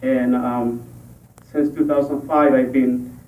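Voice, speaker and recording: Man from Ecuador, halting forum speaker, distant tinny room recording. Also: mid-range voice, flat delivery, roomy sound. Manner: halting, many fillers. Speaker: school board candidate. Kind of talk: public forum speech. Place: library meeting room.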